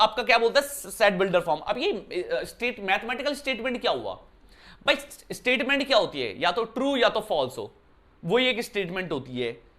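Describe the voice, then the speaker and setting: Bright-voiced man teaching a maths lesson. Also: high voice. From Uttarakhand, India. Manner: conversational, keeps asking "clear, brother?". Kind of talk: classroom lesson explanation. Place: indoor room.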